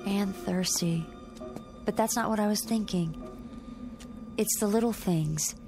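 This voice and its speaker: A woman's voice: medium pitch, unhurried, over music, natural voice. An actress playing an anxious young woman stranded in an eerie empty town in a radio drama, speaking naturally and hesitantly. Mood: uneasy.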